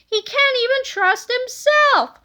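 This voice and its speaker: Young storybook boy done by a woman, very high, bright, wary, kind, excitable, questioning. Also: slow pace, exaggerated voice. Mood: worried. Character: young schoolboy sidekick, wary but kind.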